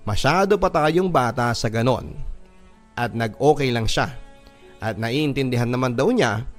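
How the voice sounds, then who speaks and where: A Filipino man tells a dramatic first-person love story in a mid-range voice. His delivery is measured and emotive, like a scripted radio reading, with music playing clearly behind him. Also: medium pitch.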